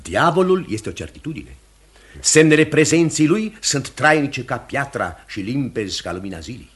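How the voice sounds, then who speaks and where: An actor playing a commanding pastor who hunts the devil, speaking in an emphatic, oratorical radio theatre manner. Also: male voice, medium pitch, big pitch swings, fairly bright tone. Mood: firm, assured. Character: commanding, certain male pastor hunting witchcraft and the devil.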